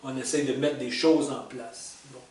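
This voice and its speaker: A man in his sixties with a Québécois French accent, thinking back over the stages of his life in a halting, casual way, full of fillers, restarts and small chuckles. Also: mid-range voice, steady tone, darkish tone, recorded from a distance. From Quebec. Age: 60s. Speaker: retired vlogger reflecting on life stages. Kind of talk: casual spoken reflection. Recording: echoey room, speaker well back from the mic, faint hiss.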